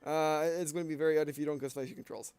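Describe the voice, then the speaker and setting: A man giving casual, halting commentary as he plays a game, rambling a little and repeating his phrases. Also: a little high, flat delivery. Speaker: gameplay video host. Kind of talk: casual gameplay commentary, sometimes reading game text aloud.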